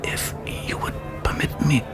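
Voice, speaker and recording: An actor playing a shy, well-spoken gentleman suitor in a period radio drama, in a quiet, weak voice, hesitant and apologetic. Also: unhurried, in a whisper, close to an everyday voice. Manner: hesitant, apologetic, formally polite. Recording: music behind the dialogue. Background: music.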